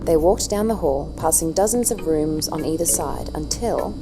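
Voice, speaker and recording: A woman's voice: deep voice, animated, normal speed. A woman narrator reading scripted story narration for an audio drama. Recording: music underneath.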